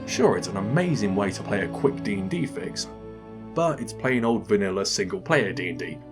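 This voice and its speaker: A man's voice: fairly low pitch, animated, normal speed, over music. A man hosting a scripted comedic video game review, narrating in a dry, wry manner.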